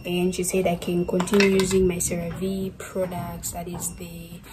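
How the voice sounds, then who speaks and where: A woman's voice: lowish voice, monotone, moderate pace, background noise. A young woman from Zambia vlogging casually to the camera indoors, rambling with lots of "like" fillers. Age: young adult. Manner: chatty, rambling, many fillers like "like". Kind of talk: casual vlog talk to camera. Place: bedroom, indoors.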